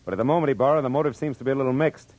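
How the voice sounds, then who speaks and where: An American actor playing a wry, tough private detective in a 1940s-style radio drama, with clipped delivery and first-person narration. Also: male voice, medium pitch, rapid-fire, darkish tone, lightly acted. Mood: urgent, brisk. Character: hard-boiled, wisecracking male private detective narrating his case. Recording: old radio drama with music.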